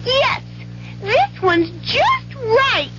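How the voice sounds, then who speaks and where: A woman's voice: very high voice, sing-song, drawn-out words, exaggerated voice. A woman playing a prim, chirpy fairy-tale taste tester in a 1970s radio commercial. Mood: perky. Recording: dull 1970s radio broadcast.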